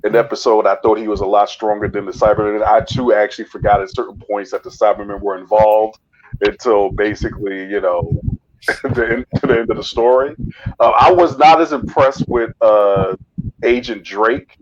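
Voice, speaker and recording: A man giving opinions in a casual chat, measured and searching for words, on an online call. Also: lowish voice, fairly even intonation, muffled recording, slight background noise. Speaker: podcast guest reviewer.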